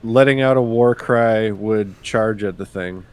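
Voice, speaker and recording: A man playing a tabletop roleplaying game in casual chat, hesitantly thinking out loud. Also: fairly low pitch, a little slow, clear, resonant tone, rough recording.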